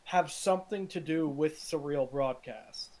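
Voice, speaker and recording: Slightly high-voiced man chatting casually. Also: unhurried, a little mellow, poor audio. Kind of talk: casual reaction chat.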